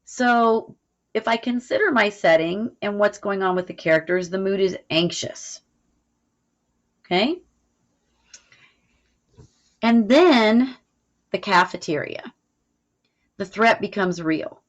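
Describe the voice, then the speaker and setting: A woman's voice: medium pitch, lively intonation, slow pace, long pauses. A woman teacher explaining a recorded lesson in an informal way, checking in as she goes. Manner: informal, explanatory, frequent "all right" check-ins. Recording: dull, lo-fi audio.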